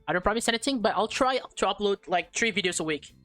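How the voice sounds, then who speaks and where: Woman, mid-to-low female pitch, casual gaming host, playful reactions, reads aloud, occasional stumbles, music behind. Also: lively intonation, fast talker, a bit bright. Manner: informal, playful reacting, stumbles while reading. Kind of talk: casual playthrough commentary, reading dialogue aloud. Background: background music.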